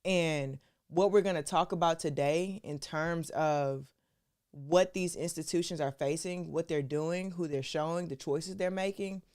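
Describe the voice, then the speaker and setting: A woman's voice: sits low, measured pace, a little mellow. A woman hosting a live stream, talking to her viewers in a casual, chatty way and calling them y'all. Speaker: show host.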